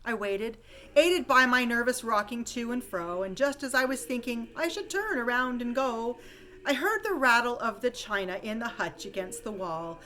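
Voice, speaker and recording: A woman reading a rhyming holiday story poem aloud with expressive, dramatic storytelling emphasis. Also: fairly high pitch, moderate pace. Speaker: poem reader.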